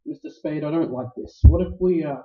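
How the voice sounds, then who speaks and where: Man acting as Gutman, a high-pitched, pompous crime boss. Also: close to an everyday voice. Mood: smooth menace. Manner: pompous, florid, deliberate politeness. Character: suave, courtly, ruthless older criminal mastermind. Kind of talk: staged radio play acting, 1940s noir. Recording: roomy, dull live stage recording.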